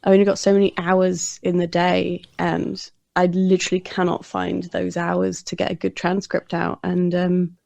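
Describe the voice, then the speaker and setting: A woman's voice: on the low side, completely flat delivery, normal speed, gravelly, few pauses, dark tone, no room sound. A woman who is a guest on a podcast. She has a non-American English accent and talks candidly, often putting herself down and saying "like". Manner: candid, self-deprecating, many "like"s. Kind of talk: casual conversation.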